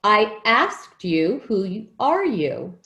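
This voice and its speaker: A woman's voice: medium pitch, big pitch swings, takes it slowly, gravelly, sharp tone, full character voice. A woman playing a caring, motherly but firm nanny in an old-time radio play. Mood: suspicious. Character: caring, protective nanny to a young girl. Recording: echoey room with noise and clicks.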